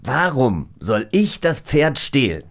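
A man's voice: medium pitch, big pitch swings, takes it slowly, gravelly, full character voice. A man playing a shifty junk dealer who blusters, theatrically affronted, in a children's audio play read as a game.

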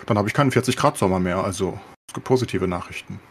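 A man with a fairly low voice talks very quickly. He explains things in a loose, rambling, colloquial way, as a podcast co-host chatting casually with English slang mixed in. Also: slightly rough.

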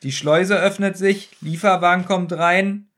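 A man with a high-pitched voice chats casually as a podcast co-host. He puts his opinions forward playfully. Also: fairly even intonation, measured pace, a bit bright. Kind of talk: casual fan discussion of an audio drama.

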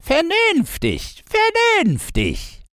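A man voicing a talkative, earnest crow in a fairy-tale audiobook, telling gossip with drawn-out, deliberate words. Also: extremely high pitch, wildly swooping pitch, a bit bright, dry recording, studio quality, pitched above the performer's own voice, exaggerated voice. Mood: earnest, knowing. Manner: deliberate, drawn-out, storytelling delivery.

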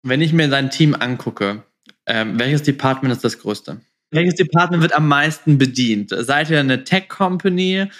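A man's voice: a little high, lively intonation, unhurried, a bit bright. A man hosting a podcast interview in a casual, informal way, mixing in English start-up jargon.

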